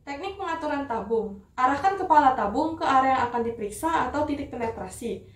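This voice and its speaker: A young woman with a fairly high voice presents a rehearsed demonstration, politely and step by step. She sounds a little far from the mic in a very echoey room, with faint noise behind her. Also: animated, fast. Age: young adult. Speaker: dental student operator giving a skills demonstration. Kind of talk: rehearsed instructional demonstration with patient role-play.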